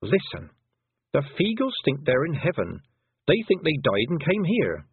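A man's voice: on the high side, huge pitch swings, measured pace, slightly rough, pitched above the performer's own voice, heavily acted. Man doing a raised, earnest young girl. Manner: earnest, questioning. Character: earnest, sharp-minded young girl shepherdess. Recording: tinny phone-like sound.

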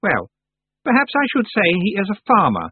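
Anagramma, a shrill, haughty young witch voiced by a man. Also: huge pitch swings, moderate pace, husky, dry recording, full character voice. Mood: flustered. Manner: high-pitched, indignant, defensive. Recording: dry, tinny phone-like sound.

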